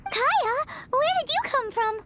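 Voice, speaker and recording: Tiny female fairy, very high childlike squeak, swooping excitable intonation, video game character. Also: unhurried, very noisy. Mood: baffled. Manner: exclamatory, refers to self in third person. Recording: loud music behind.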